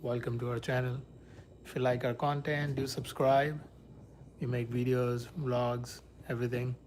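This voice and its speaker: A man from India hosts a relaxed livestream, rambling to viewers in an easy, middle-pitched voice. He talks loosely, with many restarts. A faint hiss of background noise sits under the voice. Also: moderate pace. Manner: rambling, shifts to soft whispers near sleeping baby. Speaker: livestream host. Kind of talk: casual livestream chat.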